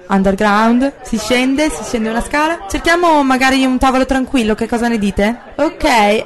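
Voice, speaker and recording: Chatty Italian woman, higher voice. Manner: lively, chatty, hesitant when speaking English. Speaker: travel vlog host. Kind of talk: casual on-the-street presenting.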